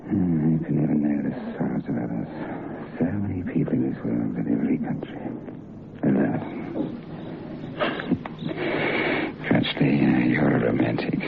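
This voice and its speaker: An actor playing a wry, teasing hotel guest in an old radio drama. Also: male voice, very deep voice, unhurried, vocal fry, long pauses, full character voice. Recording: dull old radio recording with hiss.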